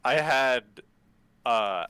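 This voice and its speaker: Bright, animated man telling stories. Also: medium pitch, extremely slow, clean tone. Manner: animated storyteller, swears casually. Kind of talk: casual stream chat.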